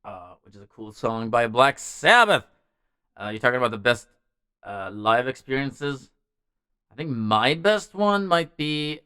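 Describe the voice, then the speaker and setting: A man's voice: medium pitch, pushed and bright, clean tone, no room sound, clean studio sound. A man hosting a music show, chatting casually and ramblingly as he answers messages from viewers. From Norway. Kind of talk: casual livestream chat.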